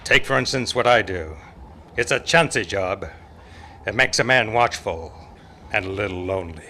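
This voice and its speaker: A man's voice: on the low side, expressive, normal speed, bright, forceful tone, slight background noise. A man playing a watchful, lonely Old West marshal in an old-time radio western parody. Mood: watchful, dry. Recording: club stage performance with faint music.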